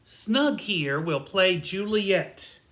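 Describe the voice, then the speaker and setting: A woman playing an earnest, slightly bossy amateur theatre director in a comic audio drama, announcing things deliberately. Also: mid-range voice, lively intonation, fairly bright tone. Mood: officious.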